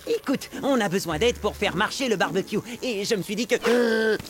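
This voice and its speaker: An actress voicing a boastful, excitable young boy in a cartoon, slangy and often stammering as he cajoles. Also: medium pitch, normal speed, heavy background noise, lightly acted. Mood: cajoling. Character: boastful, excitable popular cartoon boy trying to save his party. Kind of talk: French-language cartoon dubbing. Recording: loud music behind.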